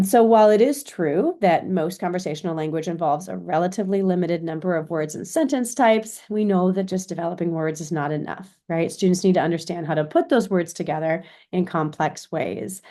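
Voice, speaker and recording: Female American lecturer, lowish pitch, informal explanatory delivery with frequent check-ins. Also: fairly quick, few pauses, mellow. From Chicago, United States. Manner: explanatory, often checks with "right?". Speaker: university lecturer in education.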